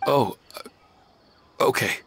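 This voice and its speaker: A man voicing a bewildered, earnest husband in a video game, halting in his speech. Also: a bit bright. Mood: apologetic. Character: dazed, earnest married man, haunted by dreams.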